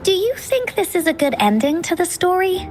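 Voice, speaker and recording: A woman voicing a chirpy, imaginative little girl storyteller in a video game, with a childlike, expressive delivery. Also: extremely high pitch, normal speed, faint music behind. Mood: pensive. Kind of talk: scripted video game dialogue.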